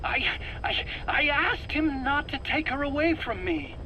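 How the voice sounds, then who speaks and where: Cowardly scientist for a game, high, sharp voice swinging widely, stammering and whiny, faint noise. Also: male voice, lively intonation, a little slow, exaggerated voice, low-quality recording. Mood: frightened, protesting. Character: nervous, defensive, cowardly male scientist under interrogation. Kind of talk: video game cutscene acting.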